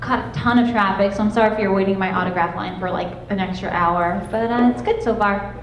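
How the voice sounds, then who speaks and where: A young woman voice actress chatting and laughing on a convention panel, with a self-described soft voice. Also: medium pitch, fast. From Los Angeles, California. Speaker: guest voice actress. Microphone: handheld panel mic. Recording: echoey room, clear background noise.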